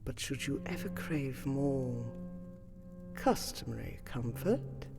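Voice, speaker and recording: A woman voicing a gracious, ancient vampire lady in a fantasy roleplay audio, speaking formally and soothingly to the listener with a wistful, breathy voice. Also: extremely low pitch, animated, slow, over music, full character voice. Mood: reassuring, formal. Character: gracious ancient vampire lady, noble keeper of a magical sanctum.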